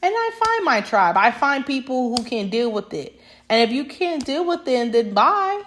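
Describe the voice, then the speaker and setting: A woman's voice: high-pitched, big pitch swings, moderate pace, fairly bright tone, a little hiss or hum. A young woman talking straight to camera in a passionate, emphatic monologue that rambles with restarts. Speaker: vlogger.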